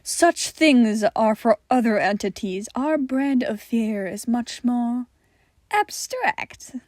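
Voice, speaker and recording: Lady doing a creepy twin monster guy, slow n ominous, kinda menacing, audiobook style, dry sound. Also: a little high, expressive, darkish tone, no room sound. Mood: ominous warning. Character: eerie male twin entity, menacing yet friendly.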